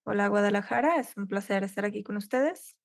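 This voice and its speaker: A woman's voice: mid-range voice, a little fast, creaky, no echo, clean studio sound. A woman interpreting a conference tech talk live, keeping pace with occasional cut-off restarts. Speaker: simultaneous interpreter.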